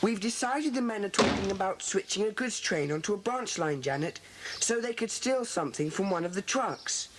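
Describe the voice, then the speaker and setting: A woman playing a bossy, earnest schoolboy who leads a children's club, brisk and commanding in an audiobook dramatisation. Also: mid-range voice, animated, natural voice. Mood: earnest, decisive. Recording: hissy old cassette dramatisation.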